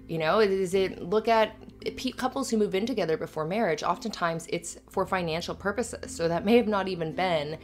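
A woman's voice: medium pitch, fairly quick, faint music behind, lightly acted. A woman playing an affectionate, earnest, slightly anxious live-in girlfriend in a conversational, rambling, hesitant ASMR roleplay. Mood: thoughtful, earnest. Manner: rambling, hesitant, giggly asides. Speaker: audio roleplay performer.

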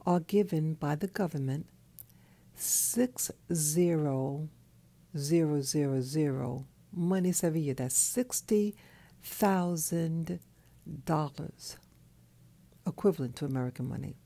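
A woman who has just woken up, talking in a soft, hoarse, half-whispered voice from her bed, slow and halting, with a clip-on mic. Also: low-pitched. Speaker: channel host. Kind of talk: early morning monologue. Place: in bed at night.

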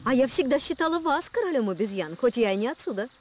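An actress voicing a sharp-tongued, self-assured adventurer in a jungle cartoon, mocking and assertive. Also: female voice, a little high, very animated, quick pace, slight background noise. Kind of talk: animated series voice acting in Russian.

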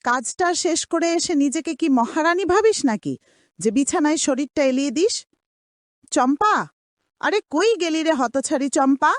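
A woman's voice: high voice, lively intonation, moderate pace, dry recording, studio quality. Woman playing a harsh, swooping, scolding cartoon aunt. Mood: demanding. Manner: harsh, sarcastic, nagging. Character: cruel, scolding aunt bullying her orphaned niece. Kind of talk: cartoon drama voice acting.

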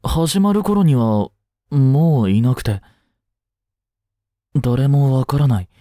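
A man playing a caring, easygoing high school teacher in a voice drama, speaking conversationally with gentle concern. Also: very animated, slow, dry recording, studio quality. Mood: worried, polite. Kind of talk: scripted Japanese voice drama acting.

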